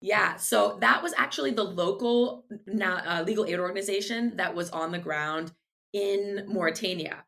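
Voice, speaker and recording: Bright-voiced woman, earnest podcast guest. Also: medium pitch, normal speed, vocal fry. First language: Farsi. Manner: earnest, thoughtful, with frequent restarts. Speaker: guest, legal tech founder. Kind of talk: podcast interview answers.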